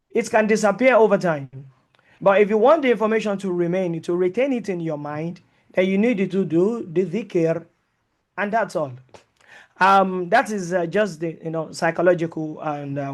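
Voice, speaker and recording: A man teaching an online Sharia lesson, who talks with many fillers, mixes in Arabic terms and speaks English as a second language. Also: high-pitched. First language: not English. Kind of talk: online lecture with live Q&A.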